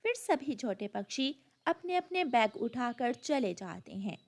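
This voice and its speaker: Female storyteller, high pitch, expressive intonation, scripted children's narration. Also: a little slow.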